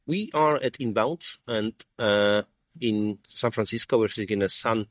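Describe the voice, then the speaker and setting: Halting, dry-voiced male podcast host. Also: fairly low pitch, a bit bright, no room sound. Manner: halting, many fillers and restarts. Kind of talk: casual interview. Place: sunny rooftop at a conference.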